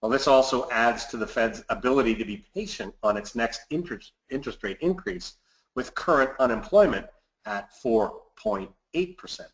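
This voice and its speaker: A man's voice: mid-range voice, a bit bright. A man giving a scripted market commentary as a financial advisor hosting a client webinar, recorded in a roomy, echoey space.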